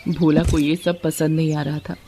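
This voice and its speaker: A woman's voice: low-pitched, little pitch movement, moderate pace, mellow, slight background noise. A woman narrating a scripted moral story as a storyteller.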